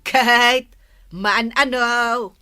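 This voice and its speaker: A woman playing a bright-voiced, nosy Ilocano village gossip. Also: on the high side, steady tone, drawn-out words, clean tone, no room sound, clean studio sound, full character voice. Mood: indignant. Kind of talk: Ilocano radio comedy drama acting.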